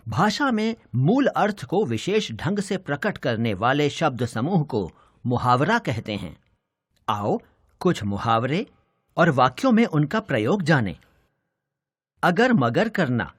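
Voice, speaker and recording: A man narrates a children's lesson like a teacher, reading from a script. His voice is slightly high, with lively intonation and a slow, measured pace. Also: breathy edge, dry recording, clean studio sound.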